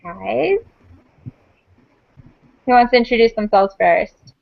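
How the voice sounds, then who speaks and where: A woman in her twenties leads a relaxed group conversation as host. She speaks in a steady, explanatory way, sometimes restarting her sentences. The sound is dull and dry. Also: a little high, gravelly, dry recording. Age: 20s. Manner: measured, explanatory, with small restarts. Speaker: host of a panel discussion. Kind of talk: casual group video chat.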